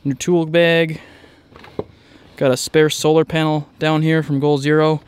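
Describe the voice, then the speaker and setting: A man's voice: a little high, level tone, a little slow, smooth. A man vlogging outdoors, narrating a casual walkaround in a rambling, informal way. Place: outdoors in a driveway beside a truck.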